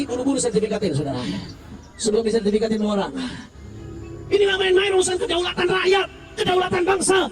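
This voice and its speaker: A man preaching a fiery sermon at a rally, shouting and calling on the crowd to answer back. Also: very high voice. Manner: shouting, call-and-response with crowd. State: recovering from flu. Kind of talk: fiery open-air sermon. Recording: distant mic with music behind. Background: music.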